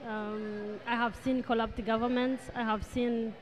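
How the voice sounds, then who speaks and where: A woman, a guest on a talk show, answering earnestly in a halting way with pauses. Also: fairly high pitch, flat delivery. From Rwanda. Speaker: guest, charity president. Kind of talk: interview answers.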